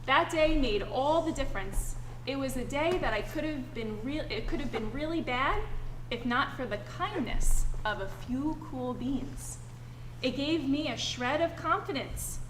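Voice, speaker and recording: High-pitched woman reading to children. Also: a little slow. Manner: animated, addressing young children with questions and simple explanations. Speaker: teacher reading a picture book aloud to children. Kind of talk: expressive children's read-aloud. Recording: echoey room, clearly noisy background.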